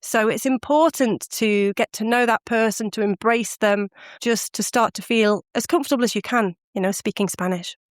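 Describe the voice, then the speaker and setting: A woman's voice: on the high side, normal speed, no room sound, clean studio sound. A middle-aged woman from the UK, a guest on a podcast, telling her stories animatedly and laughing often. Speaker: guest, language course founder. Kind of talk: podcast interview answers.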